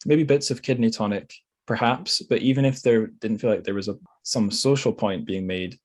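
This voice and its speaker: Low-voiced man hosting a book podcast. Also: moderate pace. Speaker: podcast host. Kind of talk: casual book discussion.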